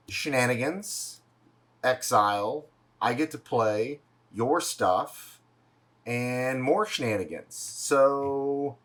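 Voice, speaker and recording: Relaxed man hosting a card game chat. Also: medium pitch. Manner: relaxed, jokey, explains his own cards. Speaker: host and player. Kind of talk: casual chat.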